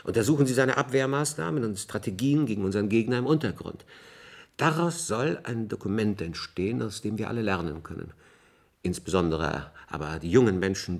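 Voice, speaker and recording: Low-voiced, authoritative official. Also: male voice, vocal fry. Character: authoritative male senior official of an underground survivor community. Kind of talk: German science-fiction radio drama acting.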